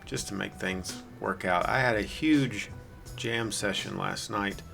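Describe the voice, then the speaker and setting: Hoarse, gravelly man narrating gameplay. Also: fairly low pitch, measured pace, bright, forceful tone, soft background music. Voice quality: raspy. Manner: rambling, self-deprecating, dry sarcasm. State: hoarse from singing the night before. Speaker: gameplay video host. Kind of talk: casual gameplay commentary.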